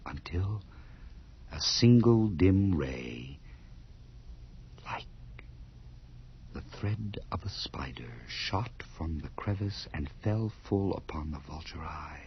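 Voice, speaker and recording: A man's voice: a bass voice, animated, heavily acted. An actor playing a deranged, gleefully cruel murderer, telling his story theatrically and intensely in a radio horror play, with drops into whispers. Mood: hushed, sinister. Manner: theatrical, intense, slow and deliberate, dropping into whispers. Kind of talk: dramatic first-person radio horror narration. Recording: muffled, noisy old radio drama recording.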